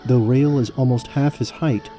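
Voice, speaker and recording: Very mellow, dark-voiced male narrator. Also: medium pitch, fairly even intonation, faint music behind. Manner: measured, descriptive. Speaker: narrator, game master. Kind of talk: scripted fantasy narration.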